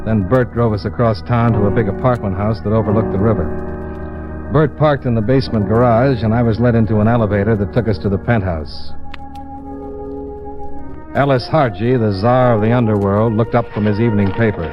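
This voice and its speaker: An actor playing a hard-boiled, wisecracking American investigator in a 1950s radio drama, clipped and dry. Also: male voice, on the low side, fairly even intonation, a little fast, lightly acted. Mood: grim narrating. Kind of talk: 1950s radio detective drama acting and narration. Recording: dull old radio recording, music underneath.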